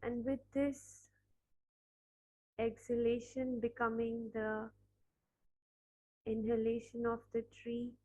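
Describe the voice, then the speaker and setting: A woman leading a guided meditation, speaking softly with long pauses between phrases. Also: on the high side, muffled recording. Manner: slow, soft, pausing between phrases.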